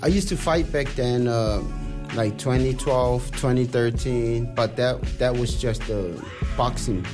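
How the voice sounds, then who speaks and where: A man's voice: mid-range voice, measured pace, few pauses, music in the background. A young man from Samoa, a guest musician, talking casually in a loose, repetitive way. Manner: laid-back, loose, repetitive phrasing. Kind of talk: casual interview.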